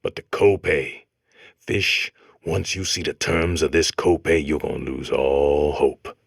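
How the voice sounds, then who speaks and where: A man voicing a weary, earnest robot character in a comedic game, with a low, raspy voice. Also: fairly even intonation, a little slow, no room sound, clean studio sound, heavily acted. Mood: jaded, conspiratorial. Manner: world-weary, earnest monologuing.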